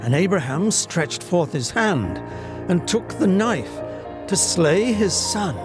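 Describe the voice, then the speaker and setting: A man's voice: high voice, very animated, music in the background, pitched above the performer's own voice, heavily acted. A man in his seventies with an English accent acting a solemn, stately narrator of Old Testament stories in a dramatic reading. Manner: grave, theatrical, drawn-out.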